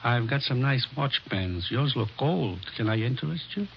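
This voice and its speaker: Casting: pawnbroker, gravelly voice, dull vintage radio sound. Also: male voice, mid-range voice, animated, moderate pace, a little hiss or hum. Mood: salesy. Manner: apologetic, salesy. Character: sheepish pawnbroker man, salesman. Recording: dull old radio broadcast.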